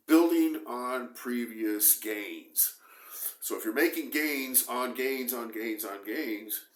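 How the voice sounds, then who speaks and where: A middle-aged man with a hoarse voice from a cold talks straight into a camera held close to his face. He speaks at a medium pitch, repeating himself for emphasis and breaking into animated bursts. The small indoor room gives his voice a roomy echo. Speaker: bodybuilding vlogger. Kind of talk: casual talk to camera. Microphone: camera close at face, moving.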